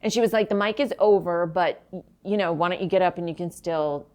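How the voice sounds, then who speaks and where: A woman's voice: on the low side, brisk, a little mellow. A woman comedian chatting as a guest, telling stories in a casual, conversational way.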